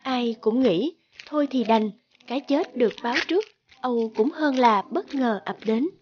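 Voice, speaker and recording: A woman giving scripted narration for an animated fairy tale. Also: a little high, moderate pace, muffled recording, slight background noise.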